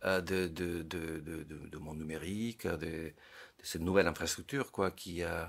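Late fifties guy, low voice, talks slow and stumbly, keeps repeating little words while he thinks. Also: fairly even intonation, vocal fry, fairly bright tone, slightly rough. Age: 50s. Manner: hesitant, repeats small words while searching. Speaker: psychologist, guest. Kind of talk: reflective interview talk.

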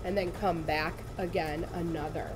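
A woman, slightly raspy from laryngitis, giving a chatty, upbeat live sewing tutorial in a studio. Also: on the low side, fairly even intonation, normal speed, background noise. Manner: chatty, upbeat, addresses viewers directly. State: recovering from lost voice. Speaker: embroidery demonstrator. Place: studio set with shelves, indoors.

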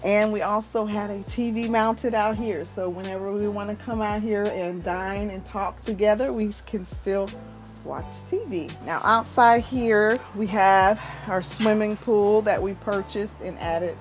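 A woman's voice: medium pitch, fairly even intonation, unhurried, soft background music. A woman in her thirties walking through her house, cheerfully showing off the decor. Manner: upbeat, gushing over decor. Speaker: vlogger giving a home tour. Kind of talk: casual home tour narration. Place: inside a house, walking room to room.

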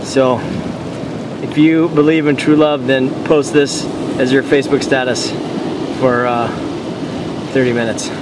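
A man on a rocky beach telling made-up stories in a deadpan way to a handheld camera. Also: mid-range voice, measured pace. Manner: deadpan, tall tales told straight. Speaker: vlogger. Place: rocky beach outdoors by the surf. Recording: loud surf noise outdoors. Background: loud ocean waves.

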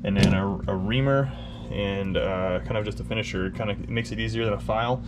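A man with a fairly low voice talks his way through his basement workshop in a relaxed, rambling way, full of ums and little restarts. He is recorded on the camera's own mic, and clear room noise sits under his voice. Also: brisk. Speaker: vlogger giving a shop tour. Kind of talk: casual walkthrough narration. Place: home basement workshop, indoors. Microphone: camera-mounted mic, speaker off-screen holding camera.

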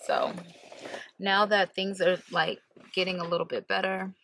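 Bright-voiced woman in her 30s, bit of vocal fry, chatty vlog from the car, camera at arm's length, faint hiss. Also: fairly low pitch, steady tone, a little slow, poor audio. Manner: chatty, corrects herself mid-sentence. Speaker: vlogger. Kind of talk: casual vlog chat to camera. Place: inside a car, passenger seat. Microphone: camera held at arm's length.

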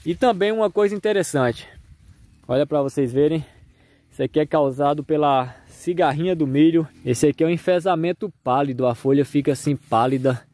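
A man's voice: fairly high pitch, a little fast, mellow, dry recording. A man with a Brazilian accent giving a casual tour of his farm to viewers, recorded outdoors on a handheld phone. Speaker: farmer and video host.

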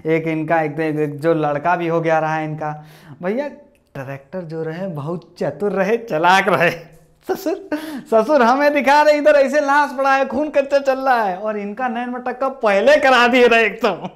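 A young man doing stand-up, retelling a movie in a rustic storyteller's manner. He shouts at the characters and acts them all out, recorded on a lapel mic in a small room. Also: sits high, measured pace. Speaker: stand-up comedian. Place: small indoor room with posters. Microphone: lapel mic, camera at mid distance.

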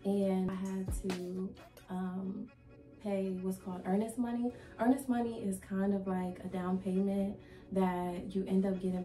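A young woman talks straight to the camera in an easy, chatty vlog, repeating herself and filling gaps with likes and ums. Her mid-range voice is a little raspy from a cold she is getting over. The room has a noticeable echo, and music plays clearly behind her. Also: mid-range voice, warm tone. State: slightly raspy from a recent cold. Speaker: vlogger. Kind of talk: casual talk to camera. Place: indoor room, plain wall and plant. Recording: echoey room with background music.

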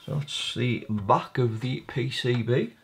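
A man's voice: medium pitch, slow, vocal fry, a little hiss or hum. A man hosting a repair video, casually narrating his hands-on work at a bench, thinking aloud in a tentative way. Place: indoor workbench.

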